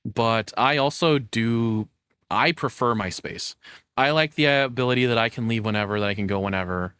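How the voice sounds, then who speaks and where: Low-voiced man giving casual, rambling commentary. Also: fairly bright tone, dry recording. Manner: candid, self-deprecating, rambling. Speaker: streamer reacting to a video.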